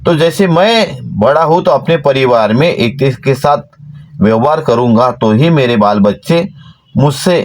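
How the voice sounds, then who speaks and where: A man, giving an earnest, exhorting devotional talk to followers from inside a parked van. His pitch rises when he stresses a point. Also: darkish tone. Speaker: devotional channel host.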